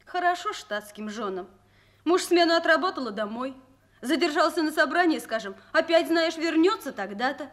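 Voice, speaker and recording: An actress playing an impatient young officer's wife in a Russian radio drama, with an emotional, plaintive manner. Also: female voice, high-pitched, animated, moderate pace. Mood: impatient, complaining. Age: young adult.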